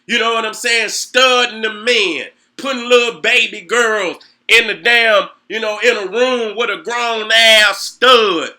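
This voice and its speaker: A man's voice: sits high, measured pace, hardly any pauses, pushed and bright. A middle-aged man hosting his own show, delivering a heated, profane monologue full of rhetorical questions and repeated "you know what I'm saying.". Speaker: host.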